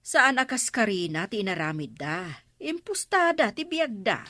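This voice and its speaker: A woman's voice: a little high, sing-song, moderate pace, sharp tone, dry recording, studio quality. A woman with an Ilocano accent playing a nagging, inquisitive village woman in a radio comedy drama.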